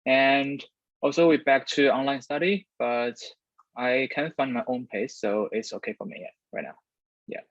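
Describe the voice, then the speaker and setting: A young male student from China, a guest speaking in a hesitant, halting way on a video call. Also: medium pitch, fairly even intonation, no echo. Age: young adult. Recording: dry, dull video call audio.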